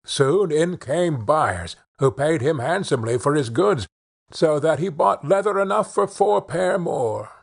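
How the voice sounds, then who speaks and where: A man narrating a children's fairy tale in an expressive, measured storytelling manner, with a slightly husky voice. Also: mid-range voice. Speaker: audiobook narrator.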